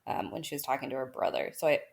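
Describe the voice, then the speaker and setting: A woman's voice: rumbling low, expressive, quick pace, vocal fry. A woman talking casually on a film podcast, chatty and full of fillers. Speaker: podcast guest.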